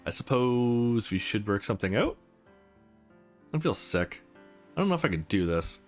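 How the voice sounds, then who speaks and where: A man's voice: mid-range voice, sing-song, moderate pace, close to an everyday voice. A man voicing awkward, wry young women who are rivals in love in a visual novel. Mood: awkward, hesitant. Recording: music under the voice.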